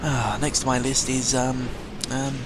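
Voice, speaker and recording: A man's voice: mid-range voice, flat delivery, a little slow. A young British man giving a casual, sweary, rambling audio log in the manner of a gaming vlogger. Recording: clearly noisy amateur recording with ambient sound.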